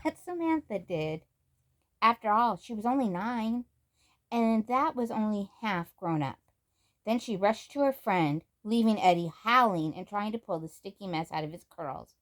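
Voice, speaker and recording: A woman narrates a children's book aloud. Her voice is medium in pitch and moves up and down with lots of expression, following the story. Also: animated, normal speed. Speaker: storybook reader.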